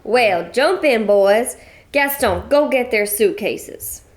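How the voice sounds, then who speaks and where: An actress playing a brash, folksy American widow from the West with a Western American accent, talking loud and blunt. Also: female voice, on the high side, animated, slow pace. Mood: hearty. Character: brash American widow, bossy ranch-style lady. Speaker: Mrs. Gibson. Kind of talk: old-time radio drama acting.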